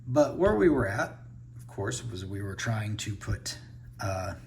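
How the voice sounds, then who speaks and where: A man's voice: on the low side, expressive, measured pace, gravelly, a little hiss or hum. A man giving a casual unboxing review, thinking aloud as he handles the toys. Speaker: toy reviewer.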